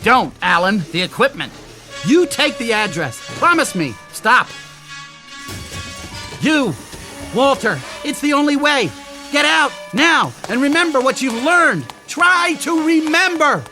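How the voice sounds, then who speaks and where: A troubled man in a radio drama, torn between frantic and gentle. His voice is bright and tense, and his pitch moves widely. Faint music sits underneath. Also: very animated, takes it slowly, heavily acted. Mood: frantic, urgent.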